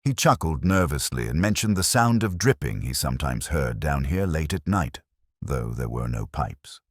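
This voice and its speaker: A man with an extremely low voice narrates a detective story in the first person. His delivery is measured and literary, with expressive rises and falls in pitch. The recording is close and dry. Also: no echo.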